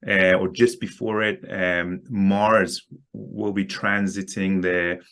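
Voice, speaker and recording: A man talking as a guest market analyst in a casual interview, halting and often repeating words as he explains. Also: low-pitched, flat delivery, a bit bright.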